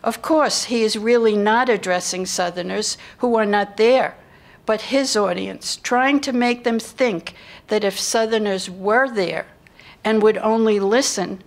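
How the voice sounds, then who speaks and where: A woman's voice: medium pitch, unhurried. An older woman reading scripted historical narration into a lectern microphone. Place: indoor hall with seated audience.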